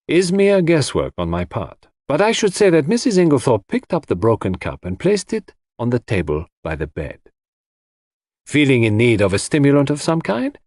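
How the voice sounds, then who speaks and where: Man playing a shrewd, theatrical detective, animated delivery. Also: mid-range voice, dry recording, clean studio sound. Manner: deliberate, dramatic, rhetorical questions. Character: shrewd theatrical male detective, lecturing his suspects methodically. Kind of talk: audiobook character dialogue.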